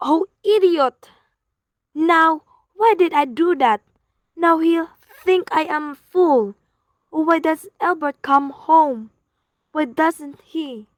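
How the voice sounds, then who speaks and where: A woman acting as a high-pitched, bedridden woman in a radio melodrama. She is nervous and imperious, complaining in an agitated way. The sound is dry and close. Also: slow, no room sound. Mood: fretful. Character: nervous, bedridden, demanding woman frantic on the telephone.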